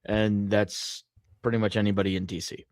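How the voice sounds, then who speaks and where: A man's voice: lowish voice, flat delivery, normal speed, a little mellow, no room sound, studio quality. A man co-hosting a podcast in casual banter, sardonic and free with profanity. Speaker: podcast co-host.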